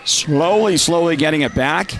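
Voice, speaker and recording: A man with a nasal, congested voice from a lingering cold, calling a live high school basketball game in a chatty way and raising his voice on big plays. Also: normal speed. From Northern Arizona. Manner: chatty, rises to loud calls on big plays. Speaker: play-by-play announcer.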